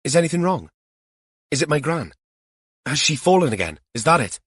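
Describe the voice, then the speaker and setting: A man voicing an anxious young woman with a tentative, soft-spoken manner in an audiobook. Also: on the high side, very animated, a little fast, husky, no echo, clean studio sound, voice pushed up, full character voice.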